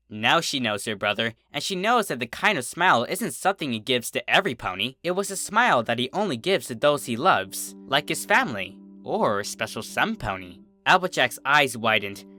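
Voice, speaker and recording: A man's voice: mid-range voice, sharp tone. A man reading a scripted story aloud as the narrator of fan fiction.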